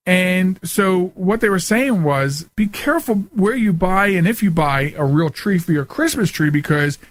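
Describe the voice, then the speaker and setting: A middle-aged man hosting a talk show in a casual, rambling monologue, often interrupting himself and wandering off on asides. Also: on the high side. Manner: chatty, digressive, self-interrupting.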